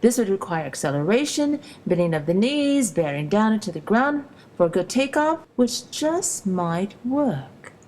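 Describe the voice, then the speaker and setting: A woman's voice: mid-range voice, very animated, a little slow, hardly any pauses, a little mellow, a little hiss or hum. A woman reading scripted storytelling narration for an educational video, explaining in a storybook-like way. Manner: storybook-like, explanatory delivery with rhetorical questions. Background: music.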